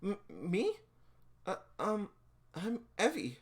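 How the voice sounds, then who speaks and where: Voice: mid-range voice, huge pitch swings, takes it slowly, husky, voice pushed up, exaggerated voice. A person voicing a timid, panicky schoolgirl from a comic, stammering and hesitant. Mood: shy, flustered. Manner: stammering, hesitant, breaking into exclamations.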